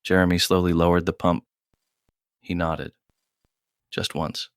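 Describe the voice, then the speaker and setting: Low, even male narrator, very clear. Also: no echo, studio quality. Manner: measured, terse storytelling. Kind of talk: scripted audio drama narration.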